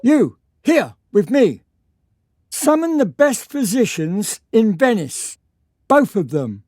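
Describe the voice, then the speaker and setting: Noble mother: imperious, anxious, theatrical, extremely varied pitch, measured pace. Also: very animated, dark tone, dry recording, clean studio sound, exaggerated voice. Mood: commanding. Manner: commanding, theatrical. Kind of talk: radio drama acting.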